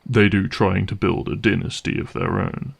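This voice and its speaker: A man playing a cynical, menacing vampire hunter in an audio roleplay, speaking deliberately and sardonically with a gravelly voice. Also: low-pitched, steady tone, exaggerated voice. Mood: probing, threatening. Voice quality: gravelly, creaky.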